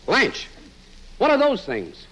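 A man's voice: very high-pitched, wildly swooping pitch, slow, husky, muffled recording, heavily acted. An actor playing a sleepy, henpecked, sarcastic husband in a 1940s radio comedy, grumbling his complaints. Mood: sarcastic, weary. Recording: noisy old radio recording, loud studio audience.

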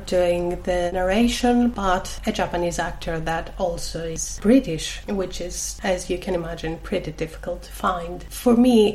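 A woman speaks in a low, even register with a clear Greek accent. She explains her work in a measured, steady way. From Greece. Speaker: audiobook assistant. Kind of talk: prepared talk about her work.

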